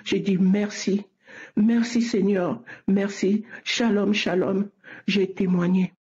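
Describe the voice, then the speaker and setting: A woman's voice: medium pitch, fairly even intonation, slow pace, a little mellow. A woman giving an earnest spoken religious testimony, often repeating her words. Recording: muffled lo-fi recording.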